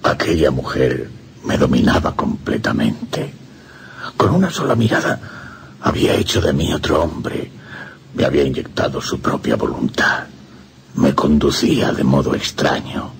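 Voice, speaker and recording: A man's voice: extremely low pitch, big pitch swings, unhurried, gravelly, slight background noise, heavily acted. A man with a Castilian Spanish accent playing a lovesick, tormented young priest, confessing in an anguished radio drama monologue. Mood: haunted, confessing.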